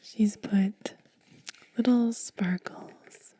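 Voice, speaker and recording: A woman hosting ASMR, speaking softly in a breathy, hushed whisper and lingering over short phrases. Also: medium pitch, steady tone, drawn-out words. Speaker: ASMR video host. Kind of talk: soft-spoken ASMR.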